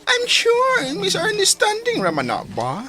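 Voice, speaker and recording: A woman's voice: very high-pitched, wildly swooping pitch, unhurried, crowd noise, full character voice. A woman with a Filipino Cebuano accent playing a chatty, playful young friend in a radio drama. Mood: pleading. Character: chatty, lively young girlfriend, playful confidante.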